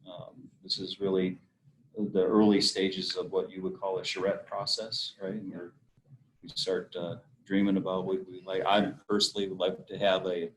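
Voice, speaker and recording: A man's voice: low-pitched, little pitch movement, moderate pace, slight background noise, poor audio. A man leading a casual meeting discussion, hesitant with many fillers. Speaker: meeting facilitator.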